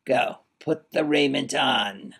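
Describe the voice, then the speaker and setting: A man voicing a cold, sneering old duke who taunts slowly and menacingly in an audiobook. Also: medium pitch, gravelly, fairly bright tone, breathy edge, voice pushed up, heavily acted. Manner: slow, menacing, mocking. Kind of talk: audiobook character voice.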